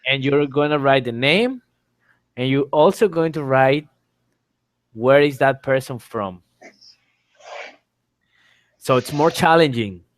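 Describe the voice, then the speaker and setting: A man's voice: medium pitch, expressive, takes it slowly, pauses often, no echo. A man teaching an informal online exam lesson, explaining things step by step and repeating his phrases. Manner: explanatory, repeats phrases and spells letters aloud. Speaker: English teacher, exam tutor. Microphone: online call microphone.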